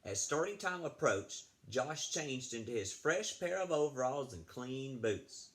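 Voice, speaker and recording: A man's voice: medium pitch, lively intonation, a little slow, fairly bright tone. A man reading a picture book aloud to children, expressively, with casual asides. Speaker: read-aloud storyteller, apparently addressing schoolchildren.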